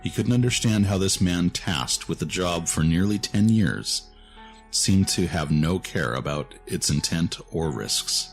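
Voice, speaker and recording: Male narrator for scripted fiction: low voice, story reading, faint music bed. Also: expressive, measured pace, vocal fry.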